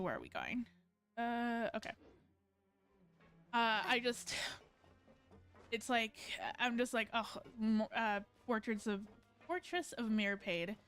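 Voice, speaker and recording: A woman's voice: a little high, fairly bright tone. A woman game streamer giving casual live commentary, rambling as she thinks aloud.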